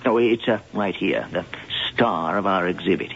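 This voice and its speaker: An actor playing a learned museum professor in a radio drama, speaking deliberately with hesitant pauses. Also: male voice, low voice, gravelly, slight background noise. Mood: scholarly, guarded. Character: learned, measured male museum professor, expert on cats. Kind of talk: old-time radio drama acting. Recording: dull old radio drama recording.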